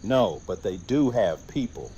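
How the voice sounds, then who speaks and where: A man's voice: lowish voice, very animated, measured pace, warm tone, slight background noise. A man playing a grim, resolute fighter in an audio drama, wary and blunt. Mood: grim, urgent. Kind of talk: fan audio drama acting.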